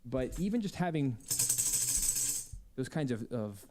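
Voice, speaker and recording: A man's voice: mid-range voice, sing-song, measured pace, noisy background. A man speaking as an audio drama composer, explaining his working process in a podcast interview. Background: music playing behind the voice.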